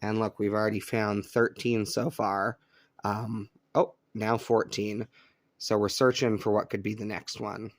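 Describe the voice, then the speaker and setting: A man from Colorado hosting a relaxed show-and-tell about his radio gear, easygoing and chatty with frequent ums, speaking indoors at home. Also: on the low side, measured pace, no room sound, clean studio sound. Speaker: radio hobbyist channel host. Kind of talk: casual show-and-tell demonstration.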